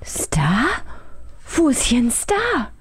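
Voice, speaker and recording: A good-natured zoo elephant voiced by a woman from a children's audio play. It sounds innocent and easily puzzled, speaking in a fairly high voice with animated intonation. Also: takes it slowly, breathy edge, full character voice. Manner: innocent, puzzled, plain-spoken. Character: good-natured, naive, slow-witted male zoo elephant.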